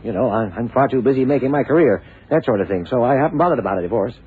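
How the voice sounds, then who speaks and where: An actor playing an evasive young lawyer in a radio drama, talking in a hesitant, defensive, colloquial way. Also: male voice, medium pitch, lively intonation, racing, phone-quality sound, natural voice. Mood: defensive, casual. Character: evasive, ambitious young American male lawyer. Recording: thin, noisy 1960s radio drama recording.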